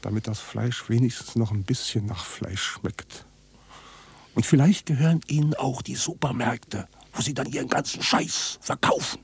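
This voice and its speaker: An actor playing a bitter, evasive contract calf fattener in a radio drama, husky and blunt, with a sardonic, coarse way of talking. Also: male voice, mid-range voice, normal speed. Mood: bitter, indignant. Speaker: contract livestock farmer. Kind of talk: naturalistic radio drama dialogue.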